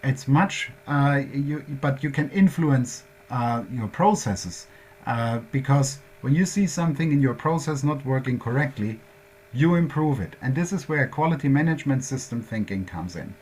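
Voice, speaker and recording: A man's voice: medium pitch, lively intonation, normal speed, darkish tone, slightly rough. A man with a German accent presenting at a workshop, explaining concepts step by step in a methodical way.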